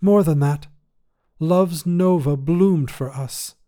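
A man voicing a poised, two-hundred-year-old woman space commander in a science fiction story, speaking in a measured, formal, gently wistful way. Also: on the high side, pitched above the performer's own voice. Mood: fond, reflective. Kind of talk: acted dialogue in science fiction story reading.

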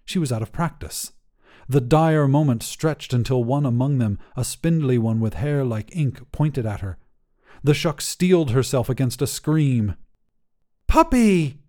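A man narrating literary fiction in a measured, theatrical storytelling manner. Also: medium pitch, mellow.

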